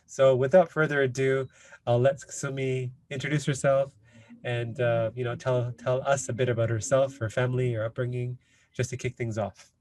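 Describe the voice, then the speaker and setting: A man's voice: mid-range voice, level tone, moderate pace, a little mellow, no echo. Hesitant man hosting a podcast. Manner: hesitant, many fillers like "you know" and "kind of". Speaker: podcast host. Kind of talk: casual interview chat.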